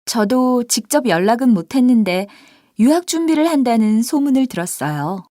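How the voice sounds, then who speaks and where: A woman voicing scripted dialogues and example sentences for a language textbook, with clear, expressive line reading. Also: fairly high pitch, normal speed, no room sound, clean studio sound. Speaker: textbook audio voice actor.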